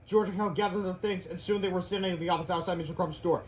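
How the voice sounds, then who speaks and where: High-pitched man reading a children's book aloud. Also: steady tone, fast. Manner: stumbles over words while reading. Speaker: read-aloud storyteller.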